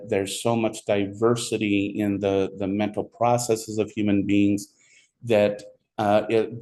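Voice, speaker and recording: Guy lecturing a psych class, squeaky voice today, kinda low pitched, lots of uh and um. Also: darkish tone, a little hiss or hum. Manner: rambling, many fillers and restarts. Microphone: recorded for online video.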